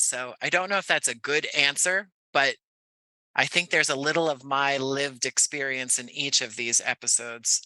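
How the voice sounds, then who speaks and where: An American man from Jersey City speaking as a podcast guest, thoughtful and measured, with halting phrasing in a reflective conversation. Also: medium pitch, sharp tone, dry recording, low-quality recording. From Jersey City, United States. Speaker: podcast guest, audio playwright.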